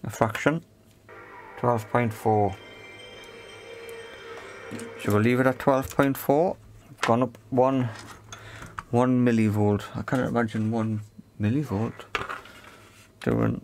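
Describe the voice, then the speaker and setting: A man's voice: mid-range voice, fairly even intonation, takes it slowly, long pauses, a little mellow, faint music behind. A man giving hands-on repair commentary, thinking aloud in a tentative way as he works. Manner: tentative, talks to himself while working. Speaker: repair video presenter.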